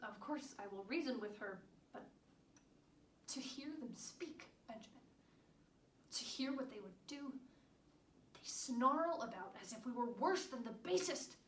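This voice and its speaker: A woman's voice: fairly high pitch, expressive, unhurried, a bit bright. A woman acting an earnest country wife, echoey recording. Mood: worried, bitter. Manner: formal old-fashioned diction, earnest. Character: devoted, proud wife of a prisoner, fiercely indignant country woman. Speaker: stage actress performing monologues. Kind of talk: theatrical period monologue. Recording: echoey room, distant mic, background noise.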